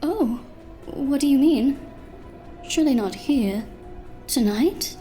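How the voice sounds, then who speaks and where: Soft, high-voiced, uneasy diner owner woman acting. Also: expressive, slow, faint music behind. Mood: uneasy, guarded. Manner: polite, hesitant. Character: polite, uneasy woman running a small diner. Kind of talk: scripted audio drama.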